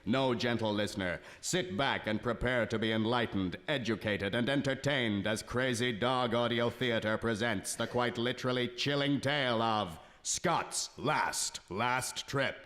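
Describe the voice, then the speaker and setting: A man's voice: medium pitch, unhurried, hardly any pauses. A man narrating and announcing a radio comedy play in a grand, mock-solemn manner. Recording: reverberant hall with loud music.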